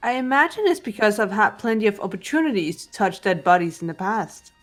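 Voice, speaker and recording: Calm, unemotional teenage girl detective played by a woman. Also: medium pitch, brisk, soft background music, lightly acted. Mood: calm, analytical. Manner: composed, matter-of-fact, precise. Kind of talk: scripted visual novel voice acting.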